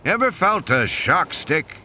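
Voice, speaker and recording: Audiobook heavy: large, unflappable man, acted by a male narrator, dry menace, unhurried, lively inflection. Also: on the high side, slight background noise, voice pushed up, full character voice. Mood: cool menace. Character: large, impassive, coolly menacing tough man. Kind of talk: dramatic audiobook character reading.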